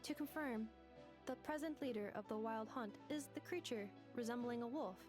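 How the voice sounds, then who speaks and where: A woman voicing a polite, mechanical female delivery robot in a game, speaking in flat, systematic announcements. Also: on the high side, normal speed, over music, close to an everyday voice. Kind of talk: game voice acting.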